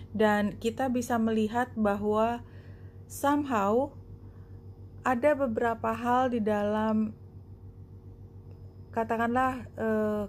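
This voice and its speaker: A woman giving a spoken card reading to viewers, explaining reflectively in short phrases with pauses. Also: mid-range voice, darkish tone, a little hiss or hum. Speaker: tarot and oracle card reader.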